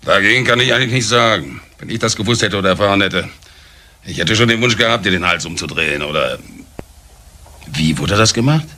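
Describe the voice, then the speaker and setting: An actor playing a scornful, indignant older uncle in a German crime radio play, with an emphatic way of talking. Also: male voice, lowish voice, animated, a little fast, sharp tone. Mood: guarded, resentful. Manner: emphatic, indignant rhetorical questions.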